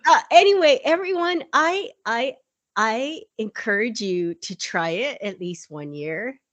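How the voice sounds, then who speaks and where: A woman's voice: fairly high pitch, expressive, a little slow, fairly bright tone, dry recording. A woman co-hosting a podcast in casual chat, rambling and laughing often as she tells stories. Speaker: podcast co-host.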